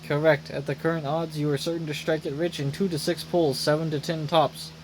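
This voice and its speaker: A man's voice: fairly high pitch, flat delivery, fairly quick, background noise, close to an everyday voice. A man voicing a calculating machine in a video game, speaking in clipped, formal phrases over music. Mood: coolly encouraging. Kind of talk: reading game story dialogue aloud. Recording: loud game music behind the voice.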